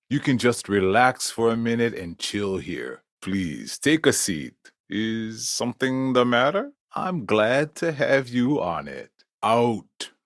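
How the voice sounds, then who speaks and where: A man's voice: on the low side, dry recording, clean studio sound, full character voice. A man voicing a laid-back, gracious Hawaiian fusion restaurant host in a video game, with an easygoing, hospitable manner. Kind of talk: scripted video game character dialogue.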